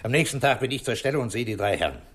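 An actor playing a methodical, calm police commissioner in a 1960s German radio crime drama, deliberate and authoritative as he explains. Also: mid-range voice, husky. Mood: measured, incisive.

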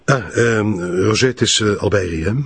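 Low-voiced, pompous Flemish police chief. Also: male voice, moderate pace, slightly rough, dry recording. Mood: awkward. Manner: indignant, pompous, hesitant when challenged. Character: touchy, self-important male chief police commissioner. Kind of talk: audio drama acting.

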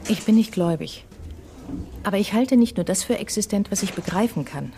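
An actress playing an intense, enigmatic painter in a radio drama, speaking earnestly and intimately. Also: female voice, mid-range voice, animated, brisk, dark tone, close to an everyday voice. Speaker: lead character, the writer's wife.

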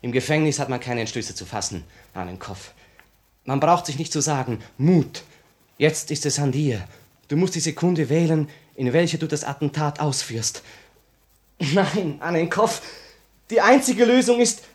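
An actor playing a despairing, ashamed young revolutionary in a 1950 radio play, with halting, intense theatrical delivery. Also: male voice, on the high side, lively intonation, a little mellow, lightly acted. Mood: agitated pleading. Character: despairing, ashamed young male revolutionary losing his nerve.

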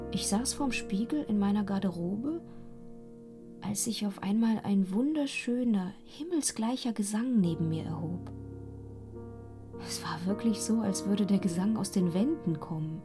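Spellbound young soprano woman acting, intimate and breathy, mid-range voice, fragile and yearning, German radio play. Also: mid-range voice, natural voice. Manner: breathy, intimate, slipping into hushed whispers. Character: young opera singer, impressionable, enraptured, torn and fearful.

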